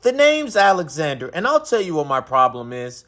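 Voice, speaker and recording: A man's voice: fairly high pitch, huge pitch swings, normal speed, fairly bright tone. A man voicing a sulky, indignant little boy in a children's picture book read-aloud, complaining in an exaggerated, dramatic way. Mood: grumbling. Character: sulky, whiny, indignant little boy having a bad day.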